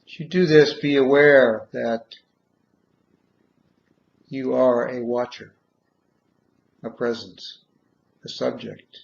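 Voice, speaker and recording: Guy doing guided meditation, really slow n gravelly, pauses a lot, kinda muffled lo-fi audio. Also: mid-range voice, fairly even intonation. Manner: measured, short phrases with long pauses.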